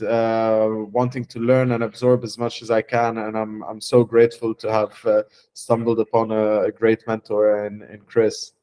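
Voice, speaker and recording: A young man from Lebanon, a startup founder giving a testimonial interview, speaking haltingly with many fillers and restarts. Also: fairly low pitch, a little mellow, smooth.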